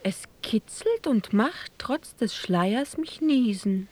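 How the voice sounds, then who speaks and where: Slow, high-voiced dainty princess. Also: female voice, lively intonation, darkish tone. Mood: delighted. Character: veiled young Egyptian princess, dainty and delighted. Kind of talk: rhymed theatrical fairy-tale acting.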